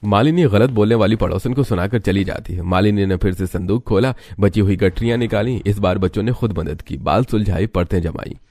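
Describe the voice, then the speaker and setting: A man's voice: lowish voice, brisk, few pauses, darkish tone. A man narrating a scripted Hindi cartoon story.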